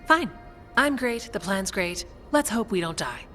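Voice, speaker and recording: An actress playing a plain-spoken, steady woman commander in a video game, with matter-of-fact phrasing. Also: medium pitch, unhurried, faint music behind. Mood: wry, modest. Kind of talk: dramatic video game acting.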